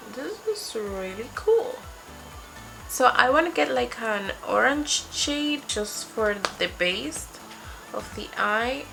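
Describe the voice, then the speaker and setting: A young woman, Spanish her first language, speaks slowly with a gravelly edge as she walks through each makeup step. Music plays clearly behind her voice in a small home room. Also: a little high, low-quality recording. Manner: chatty, talks through each step while applying makeup. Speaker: beauty vlogger. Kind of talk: makeup tutorial. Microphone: webcam framing, seated at desk.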